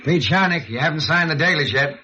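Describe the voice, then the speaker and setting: A man playing a busy, no-nonsense factory timekeeper with a brisk manner in a mid-century radio drama. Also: on the high side, expressive, lightly acted. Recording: muffled, noisy old radio recording.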